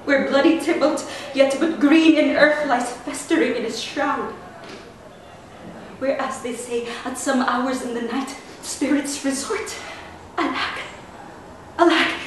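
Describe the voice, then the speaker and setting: A desperate young noblewoman, acted by a woman speaks a classical tragedy monologue in a high voice, fearful and dramatic. The sound is very reverberant and distant, with faint noise. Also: slow pace. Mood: fearful, dreading. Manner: dramatic, swinging from hushed to frantic. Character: frightened, desperate young noblewoman dreading the tomb. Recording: very echoey room, distant mic, faint noise.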